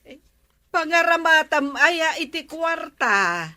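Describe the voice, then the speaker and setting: A woman's voice: high voice, sing-song, slow pace, pushed and bright, no room sound, heavily acted. A woman playing a nagging, practical village woman in an Ilocano comedy drama, scolding with an Ilocano accent.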